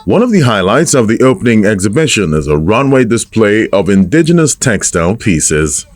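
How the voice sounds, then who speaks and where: A man's voice: lowish voice, very animated, normal speed, loud music behind the voice. A man reading scripted narration for an arts report.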